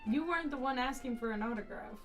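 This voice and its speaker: A woman's voice: high voice, fast talker. Woman streaming casually, off the mic. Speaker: game streamer. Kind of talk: casual livestream chat with dialogue choices read aloud. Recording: distant mic under game music. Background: game music.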